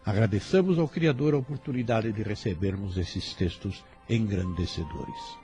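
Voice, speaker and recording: A man presenting a scripted devotional reflection in a measured narrator's style. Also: on the low side, dark tone. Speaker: presenter giving a closing reflection. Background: faint background music.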